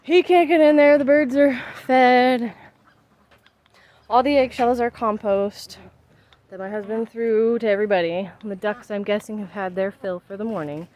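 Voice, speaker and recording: A woman's voice: fairly high pitch, steady tone, normal speed, darkish tone. A woman in her thirties chatting casually in a vlog, rambling and emphatic as she works outdoors in her backyard. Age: 30s. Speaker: vlogger.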